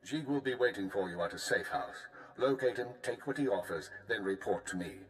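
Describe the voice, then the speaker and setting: A man as a calm, low-voiced spymaster. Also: brisk, lightly acted, rough recording. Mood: commanding. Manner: crisp, authoritative briefing. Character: male intelligence handler, calm and commanding. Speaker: spymaster giving orders. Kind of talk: video game voice acting.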